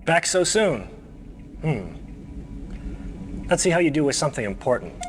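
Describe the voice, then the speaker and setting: An actor playing a smug, menacing right-hand man of a cult leader in a game cutscene, deliberate and condescending. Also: a little high, big pitch swings. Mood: condescending, threatening. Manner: deliberate, condescending, coolly threatening. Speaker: villainous mission briefer. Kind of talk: video game cutscene acting.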